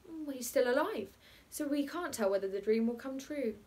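A coolly inventive bachelor as read by a woman spinning a tale for children. The delivery is matter-of-fact and deliberate, in a fairly high voice, as in a storybook reading. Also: animated, a little mellow. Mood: unconcerned.

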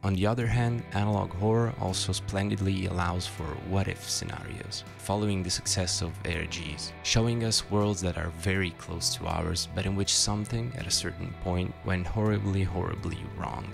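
A man's voice: sits low, fairly even intonation, normal speed, gravelly, few pauses. A man narrating a scripted video essay with dry, wry asides. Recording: music behind the voice.

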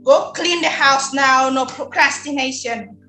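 A woman's voice: high voice, a little slow, pushed and bright. A woman playing a cruel, bossy stepmother who dotes on her daughter, in a readers theater. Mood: scornful, bossy. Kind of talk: readers theater acting on video call. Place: indoors on a video call. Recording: roomy echo, background music.

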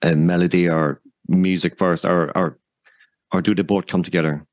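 A man's voice: deep voice, steady tone, moderate pace, dry recording, clean studio sound. A man interviewing a guest on a podcast, asking conversational questions.

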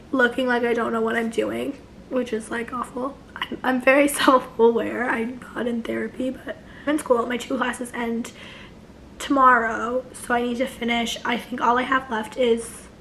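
A young woman in her twenties with a hoarse, failing voice, chatting casually to camera from her couch, rambling with many restarts. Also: a little high, a bit bright, slight background noise, rough recording. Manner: rambling, many likes and restarts, gestures a lot. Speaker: vlogger. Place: living room couch, indoors.

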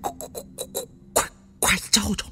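A man's voice: normal speed, bright, forceful tone, faint music behind. Man playing a stuttering, jumpy tomb raider. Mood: panicked. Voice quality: heavy stutter.

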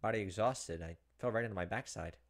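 A man's voice: fairly low pitch, quick pace. A man in his thirties hosting a let's play, reading game narration aloud with casual asides.

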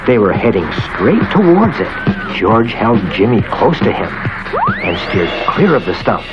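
A man narrating a children's cartoon story in an expressive, scripted storytelling manner. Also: lowish voice, a little slow. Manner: expressive storytelling, acts out the characters' quotes. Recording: dull lo-fi old cartoon soundtrack, loud music.

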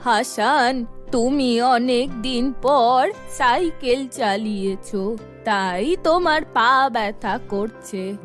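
A woman's voice: a little high, takes it slowly, faint music behind. A woman voicing a devout old grandmother in a children's cartoon, lamenting and imploring. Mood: caring.

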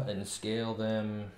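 A man's voice: fairly low pitch, dark tone. A man in his thirties muttering casually as he thinks aloud on a coding livestream from a small room at home. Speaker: game developer streaming. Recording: roomy, faint noise, keyboard clicks.

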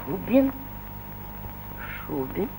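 An older actress playing a pilot's mother, an aging painter who speaks in a dreamy, theatrical way and drops into hushed murmurs. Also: on the low side, sing-song, very slow, creaky, husky, exaggerated voice, rough recording. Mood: wistful. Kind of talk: stage play acting.

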